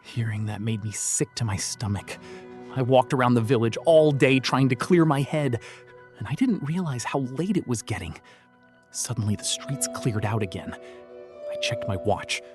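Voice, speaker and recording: A man's voice: medium pitch, moderate pace, a little mellow, soft background music. A man narrating a first-person horror story, tense and often dropping to a whisper.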